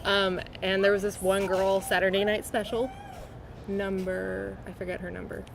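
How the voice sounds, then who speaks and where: A woman's voice: mid-range voice, flat delivery, normal speed, gravelly, crowd noise. A woman, a roller derby skater, chatting in an interview with lots of fillers and giggles. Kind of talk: casual interview answers.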